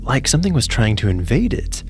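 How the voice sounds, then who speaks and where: A man narrating a scripted story in a measured, suspenseful storytelling manner. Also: mid-range voice, very animated, soft background music.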